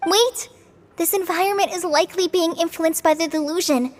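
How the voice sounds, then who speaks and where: An actress voicing an earnest, excitable young girl companion in a fantasy video game, with emphatic delivery. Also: very high-pitched, moderate pace, big-room sound. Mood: concerned, urging. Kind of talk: scripted video game voice acting.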